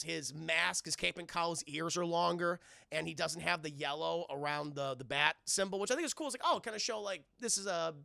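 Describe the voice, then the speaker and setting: A man with a high, gravelly voice talks like an excited streamer recapping a show for his chat. His delivery is animated and very forceful, casual and peppered with swearing. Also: no echo, clean studio sound. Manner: animated, profane, acts out dialogue casually. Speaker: host of a live stream show review. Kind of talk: lively scene-by-scene recap to a chat.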